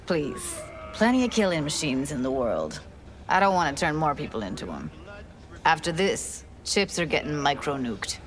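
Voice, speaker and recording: Game role: determined young techie, slightly low voice, informal and plain-spoken. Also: female voice, big pitch swings, moderate pace. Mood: earnest. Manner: informal, clipped street talk. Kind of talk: video game voice acting.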